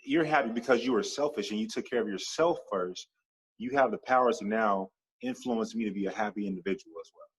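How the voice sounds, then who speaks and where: A man speaking as a podcast guest in a casual interview, animated and stacking long repeated lists as he talks. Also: fairly low pitch, a little fast, breathy edge, low-quality recording.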